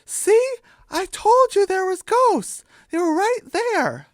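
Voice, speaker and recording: A woman voicing an earnest, excitable young female chicken in a children's radio play. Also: extremely high pitch, slow pace, no echo, studio quality, voice pushed up, heavily acted. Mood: alarmed, insistent.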